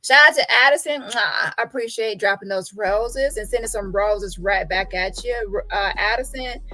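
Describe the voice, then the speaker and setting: A woman's voice: mid-range voice, moderate pace, bright, forceful tone, no room sound, low-quality recording. A woman host giving casual reaction commentary, blunt and sassy, repeating phrases for emphasis. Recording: music playing behind the voice.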